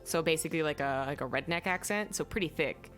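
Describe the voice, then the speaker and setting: A woman's voice: deep voice, fast talker, fairly bright tone, soft background music. A young adult woman casually reviewing films, rambling and stopping to search aloud for names. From native English speaker. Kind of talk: casual film review chat.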